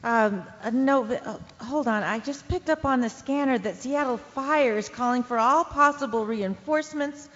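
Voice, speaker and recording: A woman's voice: a little high, normal speed, hardly any pauses, a bit bright, close to an everyday voice. A woman acting as a hesitant, urgent newsroom staffer relaying emergency news in a radio drama. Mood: flustered, urgent. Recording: dull lo-fi audio with reverb.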